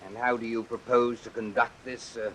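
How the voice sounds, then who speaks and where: An actor playing a worried, cautioning physician in a vintage radio drama, speaking in a formal, earnest, remonstrating way. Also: male voice, medium pitch, flat delivery, moderate pace, fairly bright tone, close to an everyday voice, poor audio. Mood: grave, skeptical. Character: worried, cautioning male physician, gravely disapproving. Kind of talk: old-time radio suspense drama acting. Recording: hissy vintage radio recording.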